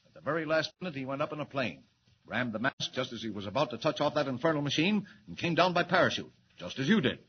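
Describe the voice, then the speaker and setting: An actor playing a brisk, authoritative newspaper editor in a 1940s radio drama. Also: male voice, medium pitch, very animated, close to an everyday voice. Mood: genial. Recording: muffled noisy 1940s radio recording.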